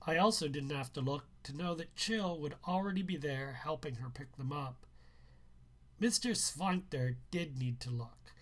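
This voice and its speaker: A man reading a first-person novel aloud as its author, with deliberate storytelling phrasing. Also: a little high, fairly bright tone.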